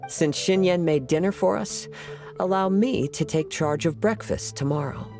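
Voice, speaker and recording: Guy playing a polite soft spoken young samurai type, mellow, calm, faint game music. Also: a little high, moderate pace, close to an everyday voice. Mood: courteous. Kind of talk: video game voice acting.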